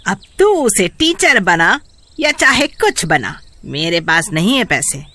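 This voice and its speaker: A woman's voice: on the low side, big pitch swings, takes it slowly, sharp tone, heavily acted. Woman putting on a scolding, stingy household mistress. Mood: irritated, dismissive. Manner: curt, scolding.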